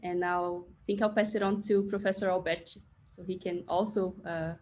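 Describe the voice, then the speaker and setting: A woman's voice: lowish voice, steady tone, normal speed, a little mellow, slight background noise. A woman speaking earnestly in a panel discussion, with some restarts.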